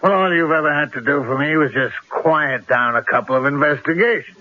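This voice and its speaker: An actor playing a menacing, scheming crime boss with tough, streetwise talk in a radio drama. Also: male voice, on the high side, brisk, lightly acted. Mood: insistent, sly. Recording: dull old radio broadcast recording.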